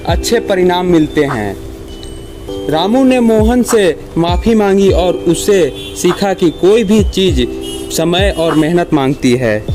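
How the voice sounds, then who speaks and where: A man reading scripted moral stories for children in a simple, measured storybook manner. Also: high-pitched.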